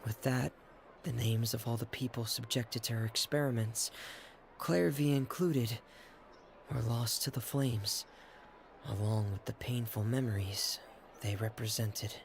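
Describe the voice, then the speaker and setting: A man's voice: medium pitch, unhurried, vocal fry, slight background noise. An actor voicing an earnest young male stage magician in a game's dialogue. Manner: earnest, heartfelt game dialogue.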